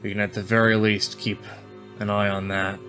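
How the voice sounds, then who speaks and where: Low-voiced man roleplaying at tabletop. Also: level tone, normal speed, sharp tone, soft background music. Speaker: tabletop game player. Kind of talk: in-character roleplay and description.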